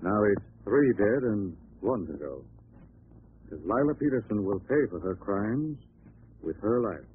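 An actor playing a composed gentleman detective in a 1940s radio drama, measured and assured as he explains things. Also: lowish voice, very animated. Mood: calm, assured. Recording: narrow-band, faintly noisy old radio broadcast.

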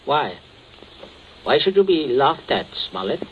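A cold, controlling middle-aged father in an old radio thriller. His delivery swings widely in pitch between clipped orders and sly pleasantries. The recording is dull, with faint noise underneath. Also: very animated, a little slow. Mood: falsely jovial. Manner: clipped commands, sly false joviality. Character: stern, cold, domineering middle-aged father covering up a killing. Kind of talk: 1940s radio thriller acting.

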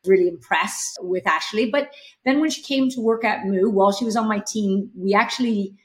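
A Canadian woman in her fifties, a guest on an interview podcast, talking through her career in a reflective, unhurried way. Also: medium pitch. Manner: reflective, unhurried, with some filler words. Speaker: guest, startup executive. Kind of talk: conversational interview answers.

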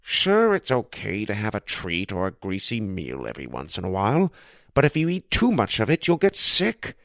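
A kindly old pizza man, voiced by a male storyteller, patiently explaining in a gentle, fatherly way. The voice rises and falls with lively movement. It is recorded dry and close. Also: on the low side, moderate pace, vocal fry, no room sound, full character voice. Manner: gentle, advice-giving.

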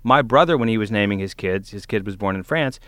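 A man with a fairly low voice talks through his points on a casual panel podcast. The sound is dry and close, and he explains things as he thinks them out. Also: expressive, fast talker, fairly bright tone, smooth, dry recording. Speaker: podcast co-host.

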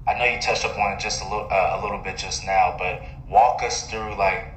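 Bright-voiced man hosting in an echoey room. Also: low-pitched, fairly even intonation, fairly quick. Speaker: podcast host and interviewer. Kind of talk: conversational interview with casual questions. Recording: very echoey room with faint noise.

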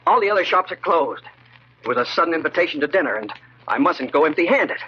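High-strung nervous man, swinging pitch. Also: very animated, breathy edge, heavily acted. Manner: agitated, melodramatic, halting. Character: nervous, desperate nephew plotting murder. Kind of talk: 1940s radio horror acting. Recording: muffled, noisy old radio recording.

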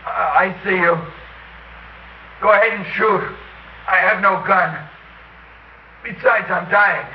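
A man's voice: sits high, takes it slowly. A male actor playing a helpless, wounded American helicopter gunner in a radio drama, blunt and faltering. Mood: resigned, pained. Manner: blunt, faltering, breaking off phrases. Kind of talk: classic radio drama acting. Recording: dull, roomy, noisy old radio recording.

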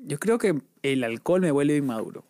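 A man's voice: on the high side, lively intonation, brisk, darkish tone, no room sound, studio quality. A Peruvian man co-hosting a podcast, chatting casually and stumbling over long words while reading definitions aloud. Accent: Peruvian Spanish. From Peru. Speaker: podcast co-host. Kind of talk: casual chat.

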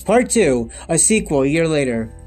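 A man's voice: a little high, big pitch swings, normal speed, a little mellow, faint music behind. A man in his thirties talking straight to his viewers in a loose, rambling monologue, circling back and restarting often. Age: 30s. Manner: rambling and repetitive, with many restarts and emphatic lists. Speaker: vlogger. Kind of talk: unscripted monologue to camera.

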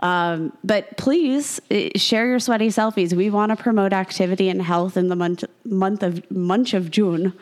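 Chatty woman with a slight cold rasp. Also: mid-range voice, a little mellow. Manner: chatty, self-mocking, quick asides. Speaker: podcast co-host. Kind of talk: casual fantasy football chat.

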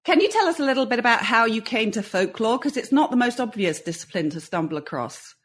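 A woman's voice: fairly high pitch, expressive, fast, husky. A woman hosting a podcast, introducing and interviewing a guest.

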